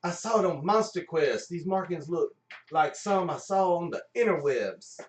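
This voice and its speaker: A man playing an excitable, gullible appliance repairman in an amateur live radio play, exclaiming in alarm. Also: on the high side, normal speed, far from the mic, pitched above the performer's own voice, close to an everyday voice. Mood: excited alarm. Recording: echoey room, off mic, music behind.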